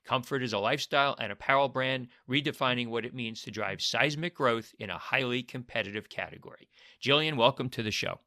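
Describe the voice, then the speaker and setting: A man's voice: medium pitch, a little fast, pushed and bright. A man hosting a podcast, reading an upbeat intro and then chatting with a guest, sometimes hesitating with fillers. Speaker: podcast host. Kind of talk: scripted intro then casual interview.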